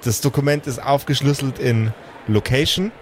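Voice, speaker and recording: A man's voice: mid-range voice, normal speed. Game master, male, middle register, improvised tabletop narration, noisy backdrop. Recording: clear background noise.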